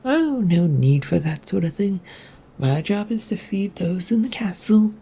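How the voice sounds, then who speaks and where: A storyteller voicing a gentle, gleeful old castle cook with a kindly, motherly manner. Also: mid-range voice, lively intonation, a little slow, gravelly, husky, pitched above the performer's own voice, full character voice. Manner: kindly, motherly, food-obsessed.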